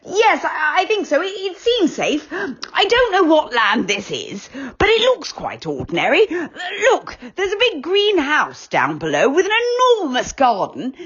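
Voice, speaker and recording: Woman doing fretful elf Moonface, high and anxious. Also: a bit bright, dull audio, full character voice. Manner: worried, breathless, stammering.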